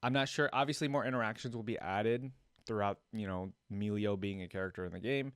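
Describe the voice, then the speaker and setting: A man reacting casually to game voicelines, talking like a relaxed video host. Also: fairly low pitch, brisk, smooth, no room sound, studio quality.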